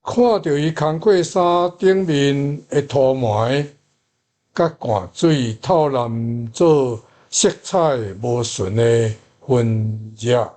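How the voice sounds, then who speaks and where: A man's voice: medium pitch, slow pace, warm tone. A man with a Taiwanese accent, a language teacher, reading out a model sentence.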